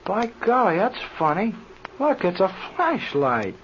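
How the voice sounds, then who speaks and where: An actor playing a folksy, curious, plain-spoken mechanic in an old-time radio adventure serial. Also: male voice, high voice, huge pitch swings, unhurried, fairly bright tone, full character voice. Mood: curious, suspicious. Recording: dull, noisy old radio serial recording.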